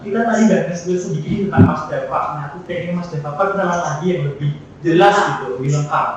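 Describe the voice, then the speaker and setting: A young man in his twenties hosting a casual student podcast with brisk, upbeat interjections. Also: a little high, slight background noise. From Klaten, Central Java. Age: 20s. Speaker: podcast host. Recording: distant, dull, echoey room.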